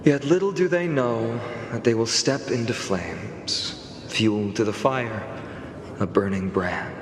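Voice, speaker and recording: An actor playing a playful, eloquent warrior-scholar in a video game, with theatrical, poetic phrasing and a teasing streak. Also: male voice, mid-range voice, slow pace, crowd noise, natural voice. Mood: poetic, solemn. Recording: echoey reverberant hall, music behind.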